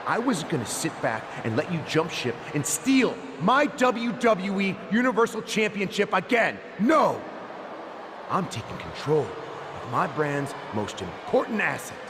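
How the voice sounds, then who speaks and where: A man playing a smug, scheming wrestling boss in a video game, speaking pompously and theatrically with a sneer over an arena sound system. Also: heavily acted. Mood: grandiose. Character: smug, scheming male wrestling boss making grand announcements. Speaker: wrestling show general manager. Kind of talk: wrestling promo speech, video game cutscene. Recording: booming arena reverb, loud background noise.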